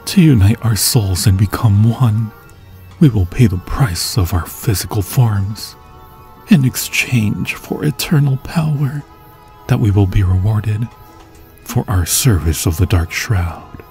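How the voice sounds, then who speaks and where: Obsessive undead lover male actor, low and unhurried, solemn archaic delivery, tender menace, for fantasy roleplay audio. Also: mellow, faint music behind. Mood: tender, sinister devotion. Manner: theatrical, slow, archaic solemn phrasing. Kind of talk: ASMR fantasy roleplay acting.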